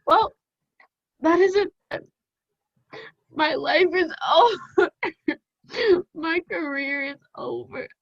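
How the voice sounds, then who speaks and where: A woman's voice: extremely high pitch, slow pace, gravelly, long pauses, dull audio, full character voice. A teenage woman student acting a despairing, self-pitying young radio playwright in a melodramatic, wailing manner over a video call. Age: teens. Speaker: student actor. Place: home room, video call.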